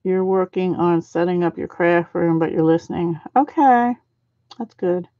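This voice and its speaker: A soft-spoken middle-aged woman with a lowish voice talks through a card-making demo while she works. Her audio is dry and a little dull, like a home stream mic. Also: a little slow, no room sound. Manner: soft-spoken, thinks aloud while working. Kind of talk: casual live craft demo, chatting with viewers.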